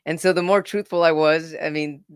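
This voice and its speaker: A man's voice: a little high, fairly even intonation, moderate pace, clear, resonant tone, no echo, clean studio sound. A man in his forties telling his own story as a podcast guest, earnest and often repeating words as he starts a sentence. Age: 40s. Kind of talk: casual personal storytelling.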